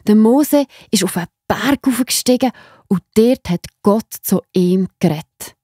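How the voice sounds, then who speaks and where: A woman with a Swiss accent narrating Bible stories for children in an expressive, enthusiastic, simple way. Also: mid-range voice, breathy edge, no echo. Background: music behind the voice.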